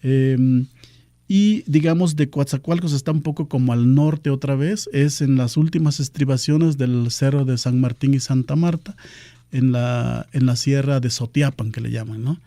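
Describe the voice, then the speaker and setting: A man from southern Veracruz whose first language is Nahuatl, speaking in a very dark, mellow voice. He explains patiently and steadily as a radio guest, often pausing on "este" fillers. The sound is dry and close. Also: medium pitch, dry recording. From Pajapan, southern Veracruz, Mexico. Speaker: guest, cultural outreach official. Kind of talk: radio interview.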